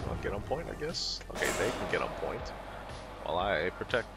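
A man's voice: low voice, sing-song, a little fast. A young man in his twenties, a non-native English speaker, chatting casually with his stream chat while gaming, laughing often and swearing freely. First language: not English. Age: 20s. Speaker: game streamer. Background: background music.

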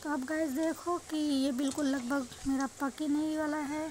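A woman's voice: high-pitched, level tone, normal speed, a little mellow. A woman with an Indian accent giving a chatty, repetitive cooking demonstration to viewers as a home cook. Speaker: home cook and vlog host. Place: indoor home kitchen, beside frying pan. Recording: noisy kitchen phone recording.

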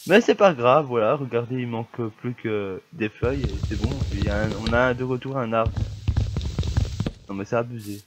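A man's voice: mid-range voice, normal speed, soft background music, poor audio. Grumbling young man with a cold. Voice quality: slightly stuffy, congested. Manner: grumbling, easily annoyed at lag. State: has a cold, coughing. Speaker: gamer. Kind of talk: casual gameplay commentary.

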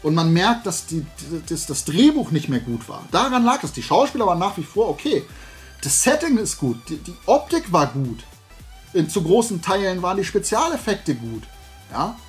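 Man in his forties, fairly high voice, colloquial vlog rant, emphatic delivery, faint music. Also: normal speed. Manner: animated rambling, colloquial, emphatic. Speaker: YouTube host of a toy collecting channel. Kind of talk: casual opinionated chat to camera. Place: small indoor room lined with shelves of toys.

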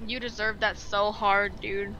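A young woman chatting casually during a co-op game, blunt, slangy and quick to swear. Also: mid-range voice, little pitch movement, lo-fi sound, noisy background. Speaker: gamer in a streamed co-op session. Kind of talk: casual gaming chat. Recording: voice chat over loud game sounds. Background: game sound effects.

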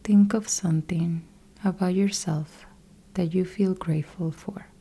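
A woman guiding a yoga nidra meditation in a soft, breathy, husky voice, calm and gentle, leaving long pauses between short instructions. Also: lowish voice. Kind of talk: guided meditation, slow instructional.

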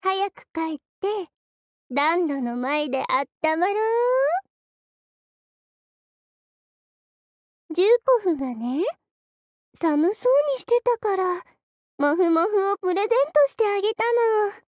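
A woman voicing a gentle, cozy young girl soldier in an anime game, with a soft, cutesy and politely familiar manner. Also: very high-pitched, expressive, moderate pace, no room sound, clean studio sound. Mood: sweet, eager. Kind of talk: anime mobile game character voice lines.

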